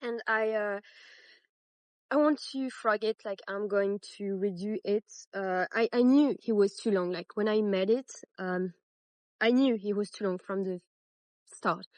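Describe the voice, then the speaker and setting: Woman, French accent, young adult, slow hesitant delivery, dry close sound. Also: medium pitch, darkish tone, no echo. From France. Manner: hesitant, many fillers and restarts, laughs at herself. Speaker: crochet tutorial host. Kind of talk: casual tutorial talk.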